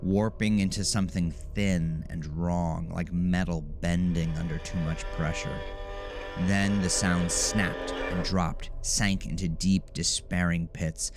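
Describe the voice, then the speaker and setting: A man narrating a scripted horror story in a measured, dramatic manner. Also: low voice, fairly even intonation, over music.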